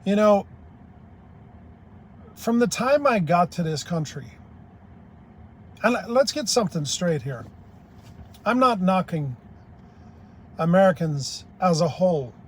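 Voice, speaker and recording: A man's voice: high voice, expressive, slow, pauses often. Blunt older Irish-born man. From Ireland. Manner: blunt, plain-spoken, rambling. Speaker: YouTube commentator. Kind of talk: opinionated monologue.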